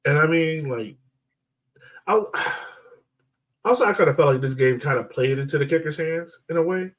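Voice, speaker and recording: A man's voice: a little high, normal speed, fairly bright tone, far from the mic. Male sports podcast co-host, informal and slangy, recorded slightly away from the mic. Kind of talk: casual sports chat.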